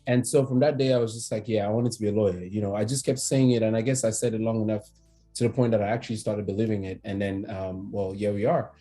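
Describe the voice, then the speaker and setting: A man from Cameroon in his thirties, an immigration attorney speaking as a podcast guest, relaxed and hesitant with many fillers and restarts. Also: on the low side, fast talker, soft background music. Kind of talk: conversational podcast interview.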